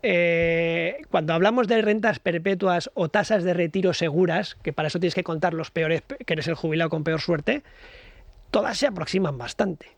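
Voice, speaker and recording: A man's voice: on the high side, moderate pace, no room sound. A man, a guest expert on a radio show, explaining investment ideas with many hesitant fillers. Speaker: guest financial educator. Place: radio studio.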